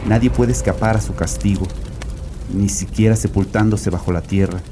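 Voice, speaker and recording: An actor playing a tormented, guilt-ridden religious father, narrating a horror audio drama gravely and deliberately. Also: lowish voice, steady tone, warm tone, natural voice. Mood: desperate, doomed. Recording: voice over prominent music.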